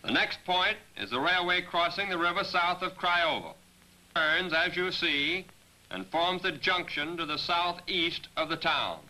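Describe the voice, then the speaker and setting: Bright, forceful 1940s military briefing narrator, male. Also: sits high, lively intonation, poor audio. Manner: measured, directive, addressing aircrews. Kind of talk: scripted training film narration, instructional briefing. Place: voice-over recording for a 1943 film. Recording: 1940s training film soundtrack, radio-like.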